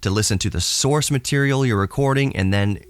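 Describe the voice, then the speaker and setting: A man's voice: medium pitch, brisk, no room sound. A man hosting a podcast in a casual, rambling technical monologue with a hobbyist's enthusiasm, recorded to cassette tape. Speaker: podcast host.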